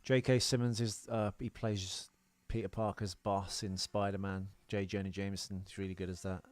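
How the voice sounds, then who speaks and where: A man's voice: deep voice, level tone, moderate pace, dry recording. A man co-hosting a podcast, casually explaining details in conversation about films. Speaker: podcast co-host. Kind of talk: casual film discussion.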